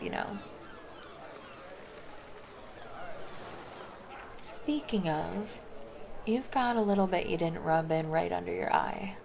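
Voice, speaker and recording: Woman plays flirty, caring female lifeguard for ASMR roleplay; unhurried, lowish voice, soft and reassuring. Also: gravelly. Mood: teasing, friendly. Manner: soft, intimate, casual, reassuring. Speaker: roleplay voice performer. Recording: background noise under the voice.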